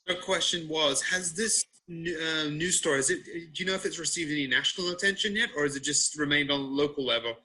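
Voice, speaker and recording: A man asking questions on a video call, halting as he searches for his words. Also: fairly high pitch, pushed and bright, a little hiss or hum. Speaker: audience member asking questions. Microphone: video call microphone.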